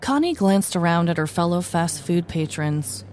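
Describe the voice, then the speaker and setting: Lower-voiced woman narrating a scripted story. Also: expressive, normal speed, darkish tone, a little hiss or hum. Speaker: podcast host and storyteller. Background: music.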